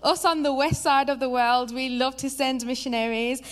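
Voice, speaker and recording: A young woman from England with a Birmingham accent, speaking as a guest preacher in a lively, chatty, personal way. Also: sits high, moderate pace, clear, resonant tone. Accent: Birmingham English. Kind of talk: sermon introduction. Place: church.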